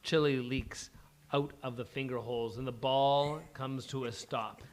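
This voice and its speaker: A man's voice: medium pitch, fairly even intonation, unhurried. A man reading out stage directions as scripted narration at a live comedy table read.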